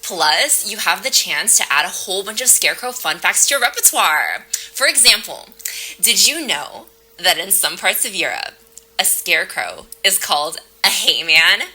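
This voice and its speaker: A woman's voice: mid-range voice, lively intonation, normal speed, bright, forceful tone, husky, heavily acted, low-quality recording. A woman playing a nervous, rambling, frightened client haunted by a scarecrow in a naturalistic audio drama. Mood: chatty. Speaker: actor in an audio play.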